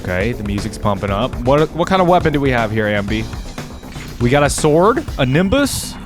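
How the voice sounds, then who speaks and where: A man's voice: medium pitch, sing-song, fairly quick. A man hosting a reaction video, thinking aloud in casual, informal commentary. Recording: music behind the voice.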